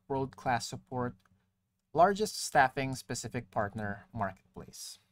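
Man narrating a software tutorial. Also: medium pitch. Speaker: tutorial presenter. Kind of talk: software walkthrough narration, partly read from screen. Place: screen-recording voice-over.

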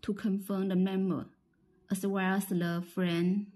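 A woman with a Chinese accent giving a halting, step-by-step instructional product demonstration, often repeating phrases. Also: mid-range voice, flat delivery, mellow.

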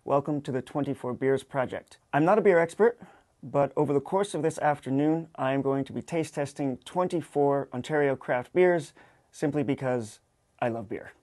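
A man, hosting a casual tasting in a halting, wry way. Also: mid-range voice, a little mellow, no room sound. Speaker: host of a beer tasting show. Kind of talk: casual unscripted tasting commentary. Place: kitchen studio, indoors.

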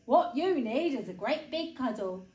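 A woman plays a great big storybook bear, lonely and silly. The words come slow and whiny, swooping widely, in a roomy echo. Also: sits high, very animated, a little mellow. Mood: gently coaxing. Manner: whining, drawn-out, whimpering delivery.

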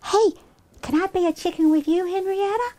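A woman's voice: very high voice, moderate pace, dark tone, pitched above the performer's own voice. A woman voicing a timid, worried young sister in a storybook, hesitant and childlike. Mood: tentative, hopeful.

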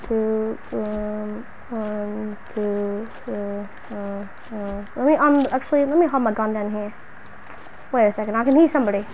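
High-pitched slangy gamer, female voice. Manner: slangy, dramatic, exclamatory. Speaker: gamer narrating a role-play short film. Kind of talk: improvised gaming role-play chatter. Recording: noisy home gaming recording.

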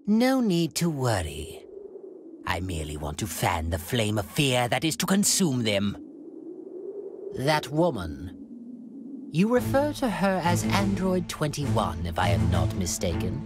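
A man's voice: medium pitch, wildly swooping pitch, measured pace. An actor playing a haughty, sadistic male alien tyrant in an anime video game, speaking with mocking politeness and florid diction. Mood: smug, composed. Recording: loud music under the voice.

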